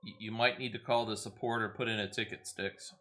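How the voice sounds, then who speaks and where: A man's voice: on the low side, fairly even intonation, a little fast, fairly bright tone. A man hosting a game livestream, chatting casually in a helpful, hesitant, explanatory way. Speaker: game streamer, host.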